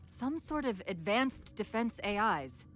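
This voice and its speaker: A woman playing a quick-witted female AI companion in a video game, brisk and analytical with a dry wit. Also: fairly high pitch, very animated. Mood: analytical, thoughtful. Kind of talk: video game cutscene acting. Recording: game audio with music behind. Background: music.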